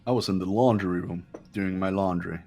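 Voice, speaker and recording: Disdainful, suspicious young rich-boy type, acted by a man, low-pitched, condescending game-character delivery. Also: fairly even intonation, mellow, soft background music. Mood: curt. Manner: condescending, measured. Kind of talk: amateur game voice acting.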